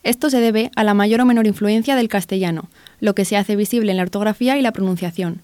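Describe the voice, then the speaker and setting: A woman's voice: mid-range voice, fast, dark tone, clear, resonant tone, no echo. A woman with an accent from Spain reading a scripted radio news report. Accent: Spanish from Spain.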